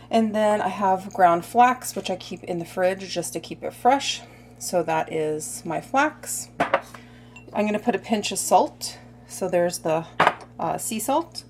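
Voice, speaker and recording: A woman filming a casual cooking video in her home kitchen, chatty and full of little asides, her voice a bit hoarse. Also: lowish voice, noisy background. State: slightly hoarse. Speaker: cooking vlogger.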